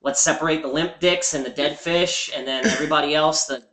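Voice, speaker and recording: A man's voice: on the high side, fairly even intonation, brisk, a loud crowd. A man co-hosting a podcast, adding dry jokes to a crude casual chat. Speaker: podcast co-host. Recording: echoey room.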